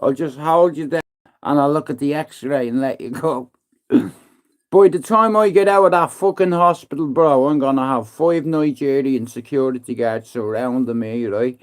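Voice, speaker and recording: A man's voice: mid-range voice, normal speed. Sweary man telling a story. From Ireland. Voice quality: profane, slangy, calls everyone bro. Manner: animated, acts out his own threats and taunts in hushed voice. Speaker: livestreamer. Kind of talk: casual storytelling monologue.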